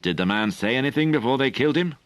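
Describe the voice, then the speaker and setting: A man's voice: medium pitch, expressive, fast talker, no room sound, pitched above the performer's own voice, natural voice. A man reading an audiobook, voicing a restless, scheming young prince who speaks abruptly and presses hard. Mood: pressing.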